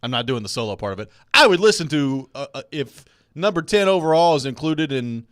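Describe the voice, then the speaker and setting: A man's voice: fairly high pitch, animated, fairly quick, a bit bright, no room sound, clean studio sound. A man hosting a sports radio show in casual, conversational talk. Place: dry radio studio.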